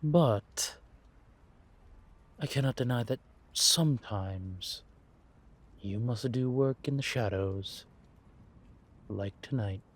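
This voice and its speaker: A man playing a centuries-old vampire spymaster in a roleplay audio drama, patient and wry, speaking in measured phrases with deliberate pauses. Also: medium pitch. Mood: reflective, instructive.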